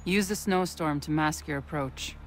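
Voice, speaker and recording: A woman's voice: low voice, moderate pace, slight background noise, natural voice. An actress playing a self-assured, blunt young woman in a dramatic video game. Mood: brisk, teasing.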